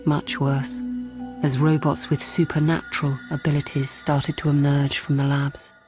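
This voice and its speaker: A woman's voice: deep voice, flat delivery, normal speed, natural voice. A woman playing a composed, stubborn lawyer and robot psychologist in a radio drama, wry and controlled. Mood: wry, reflective. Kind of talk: radio drama narration and dialogue. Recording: music underneath.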